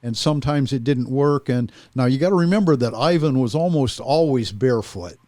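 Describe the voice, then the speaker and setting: A man on a panel casually reminiscing, explaining things haltingly and repeating words as he goes. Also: mid-range voice, animated, mellow, no room sound.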